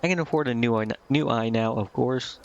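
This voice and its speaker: A man reads out a brash, shrewd tiefling shopkeeper from a fantasy game, one-eyed and worldly. The voice has a gravelly fry at a middling male pitch, delivered plainly with occasional stumbles. It sounds a little dull and lo-fi. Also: fairly quick, slight background noise, close to an everyday voice. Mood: matter-of-fact, reminiscing. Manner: plain reading of scripted dialogue with occasional stumbles.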